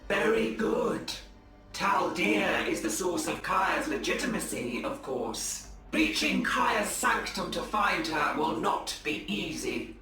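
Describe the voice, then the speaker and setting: A man playing a cautious, scholarly alien adviser in a video game, offering slow, deliberate counsel. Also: high voice, big pitch swings, full character voice. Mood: cautioning. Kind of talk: dramatic video game cutscene acting. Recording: echoey, distant, music behind.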